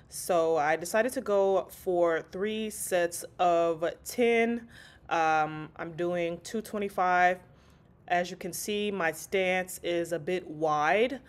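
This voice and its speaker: A woman with a slightly low voice talks viewers through a workout in an easygoing, chatty way. She sounds stuffy and congested, as if she has a cold. She fills her talk with casual asides. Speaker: fitness vlogger.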